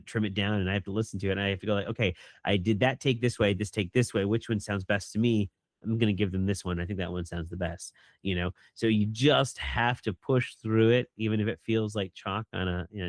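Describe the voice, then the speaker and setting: Male voice actor, lowish pitch, animated and chatty, dry close sound. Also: fairly quick, dry recording. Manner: animated, jumps into a higher voice when quoting people. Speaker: voice actor guest. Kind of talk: casual interview chat.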